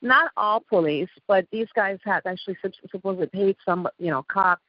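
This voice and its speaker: A woman's voice: medium pitch, normal speed, no room sound. A woman from Iran with an Iranian accent, a guest telling her story in a rambling way, with a slight lisp from missing front teeth. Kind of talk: casual interview talk. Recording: dull lo-fi call audio.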